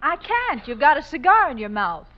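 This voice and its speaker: An American actress playing a sassy, flirtatious secretary in a 1940s radio comedy, with pert, teasing delivery. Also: female voice, sits high, very animated, normal speed. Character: sassy, flirtatious secretary who teases her suitors. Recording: muffled old radio recording.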